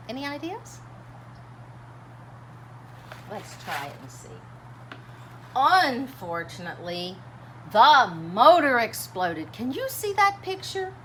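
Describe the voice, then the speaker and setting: A woman reading a children's picture book aloud like a teacher, dramatic and expressive, chatting and asking questions of young listeners. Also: on the high side. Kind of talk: animated storytime read-aloud with commentary and questions. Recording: clearly noisy background.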